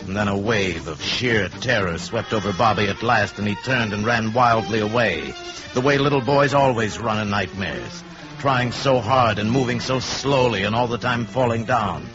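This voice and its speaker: Low-voiced male radio drama narrator over music. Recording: dull old radio recording. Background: prominent dramatic music.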